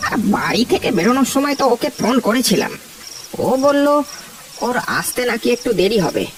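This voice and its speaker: A woman's voice: fairly high pitch, lively intonation, brisk, far from the mic, a little hiss or hum, natural voice. A woman voicing a worried young male student in a cartoon ghost story, colloquial and hesitant. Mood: casual.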